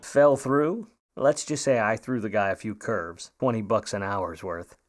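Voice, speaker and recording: An actor playing a wry, slangy, smooth-talking private eye in an old-time radio noir drama. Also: male voice, fairly low pitch, unhurried, soft background music, close to an everyday voice. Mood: wry, flirtatious. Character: grudging, smooth-talking hardboiled 1950 Hollywood private eye.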